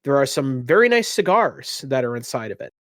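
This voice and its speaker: A man's voice: medium pitch, big pitch swings, a little fast, a bit bright, no room sound, clean studio sound. A man working as game master, improvising casual narration for a tabletop game.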